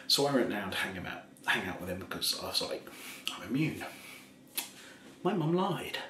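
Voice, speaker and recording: A man of forty telling a story in a casual, soft-spoken way, rambling and laughing at himself, seated in a small kitchen in front of a camera. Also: lowish voice, reverberant, slight background noise. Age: 40s. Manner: rambling, laughs often, self-mocking. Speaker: ASMR creator.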